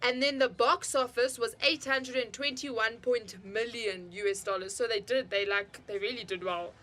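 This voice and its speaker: A woman's voice: a little high, steady tone, moderate pace, fairly bright tone. A woman co-hosting a radio movie show, chatting casually and sharing film trivia with frequent restarts and fillers. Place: radio studio.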